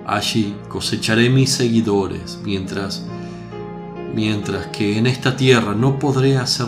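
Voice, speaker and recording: Guy acting a calm solemn holy preacher, radio drama, deepish voice, music clearly behind. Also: natural voice.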